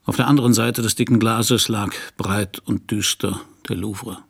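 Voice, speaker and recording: An actor playing a middle-aged hard-boiled private detective in a radio crime drama, dry and laconic. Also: male voice, on the low side, fairly even intonation, moderate pace, faint music behind. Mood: dry, wry. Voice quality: husky, gravelly.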